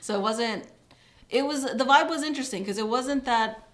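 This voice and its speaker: A woman's voice: fairly high pitch, normal speed. A woman guest writer in casual podcast chat, talking freely with many fillers and frequent laughs.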